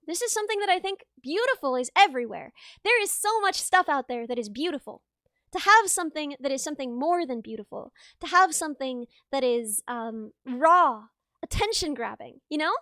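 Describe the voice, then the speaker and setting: A young woman streaming live, chatting casually with viewers in a rambling, laughing way, her voice a little raw and rough. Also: high-pitched, sharp tone, no echo, clean studio sound. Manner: rambling, chatty, laughs often, reads chat aloud.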